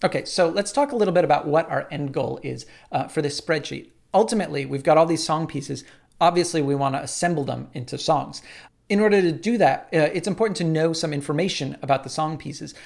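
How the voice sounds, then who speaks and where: A man casually explaining his songwriting workflow in a relaxed tutorial, with frequent "uh" and "like". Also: fairly high pitch, fairly quick, vocal fry. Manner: relaxed, lots of "uh" and "like".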